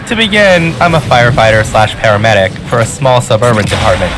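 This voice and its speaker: A man narrating online stories aloud in a lively, playful reading with shifting emphasis. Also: mid-range voice, brisk. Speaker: story narrator. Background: loud music.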